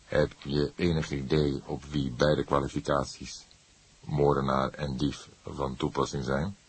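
A man voicing an old police detective, calm, stern and sardonic, speaking slowly in a deep, husky voice for an audiobook. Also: heavily acted, low-quality recording. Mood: calm, firm. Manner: slow, measured, formal, emphatic.

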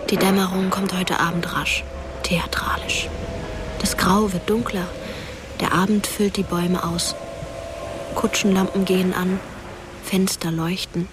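An actress playing a dead teenage girl who narrates a German radio drama as a quiet, detached ghost, half whispering and measured. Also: fairly low pitch, flat delivery, noisy background, close to an everyday voice. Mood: quiet, watchful. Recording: music under the voice.

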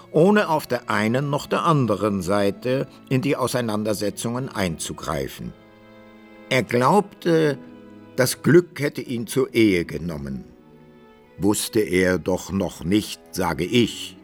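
An actor playing an old-time author who narrates a radio drama in measured, dramatic and archaic phrasing. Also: male voice, medium pitch. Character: the author as measured, literary storyteller.

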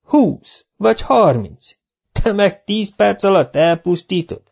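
A man's voice: high-pitched, animated, creaky, darkish tone, breathy edge, pitched above the performer's own voice, heavily acted. A man voicing a tipsy elderly teacher who scolds and lectures his former pupil. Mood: indignant. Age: older. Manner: indignant, lecturing.

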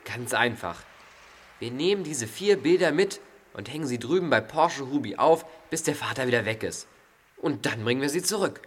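A man's voice: medium pitch, expressive, moderate pace, husky. An actor playing a clever, impatient teenage boy detective in a German children's audio drama, explaining and scolding in an exasperated way. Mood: confident, explaining.

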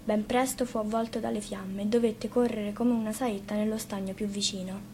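A woman's voice: mid-range voice, little pitch movement, normal speed, mellow. A woman narrating a scripted fairy tale as a storyteller. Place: radio studio. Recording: faint background noise.

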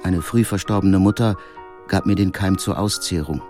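A man voicing a brooding painter who gravely recalls his troubled life in an audio biography, in measured words. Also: low-pitched, darkish tone, faint music behind, lightly acted. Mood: grave, reflective. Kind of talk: dramatized first-person reading in an audio biography.

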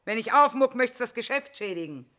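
A woman's voice: fairly high pitch, animated, fairly quick, pushed and bright. An actress playing a shrewd, middle-aged female war trader, earthy and sardonic, in theatrical style. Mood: sardonic, resigned. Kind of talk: theatrical stage acting with half-sung song.

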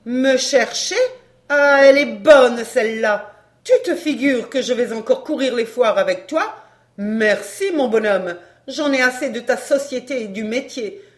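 A woman's voice: a little high, unhurried, close to an everyday voice. A woman reading a story, voicing a brazen fairground woman who is scornful, cheeky and slangy. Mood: scornful, amused. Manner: taunting, slangy, derisive.

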